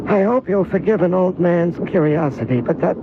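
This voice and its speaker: A polite old gentleman of radio drama speaks haltingly, with pitch that moves widely. The recording is thin and tinny, with noise over it. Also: expressive. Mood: frail, courteous. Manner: halting, courteous. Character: young man posing as a slow, polite old man.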